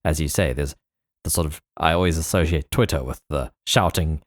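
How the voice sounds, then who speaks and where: A man's voice: very deep voice, fairly quick, no echo, studio quality. A man hosting a casual interview, speaking tentatively as he feels out his phrasing. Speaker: podcast host.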